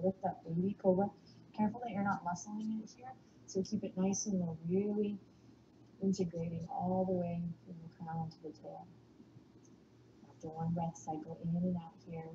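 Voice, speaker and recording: A woman with a slight Texan accent guiding a yoga class, giving calm, soothing cues and breathing the exhales out in whispers. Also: fairly low pitch, lo-fi sound, slight background noise. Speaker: yoga instructor.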